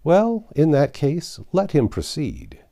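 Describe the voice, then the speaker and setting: A man's voice: on the high side, huge pitch swings, takes it slowly, vocal fry, mellow, voice pushed up, heavily acted. A man reading a storybook, playing a confident, wry magician who reasons with polite self-assurance. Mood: firm, wry.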